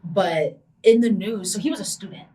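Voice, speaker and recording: A woman's voice: medium pitch, normal speed. Chatty young woman, slightly distant mic. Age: young adult. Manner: chatty, many "like" fillers, animated retellings. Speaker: podcast co-host, university student. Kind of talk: casual chat.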